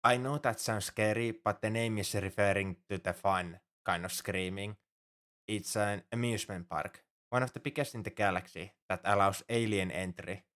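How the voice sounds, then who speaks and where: Casting: male actor for a worrying, devoted alien shipmate, fairly deep voice, earnest and halting, formal turns of phrase, intimate ASMR roleplay. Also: steady tone, fairly bright tone, close to an everyday voice. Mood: friendly, hopeful. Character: anxious, caring male alien crewmate on a spaceship. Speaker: ship crewmate tending a sick human.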